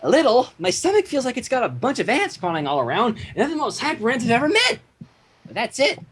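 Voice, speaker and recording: A story reader voicing a hyperactive, childlike female cartoon pony in a bubbly, gushing, excitable manner. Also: mid-range voice, fast talker, a little hiss or hum. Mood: cheerful. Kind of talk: cartoon character reading in a fan fiction story.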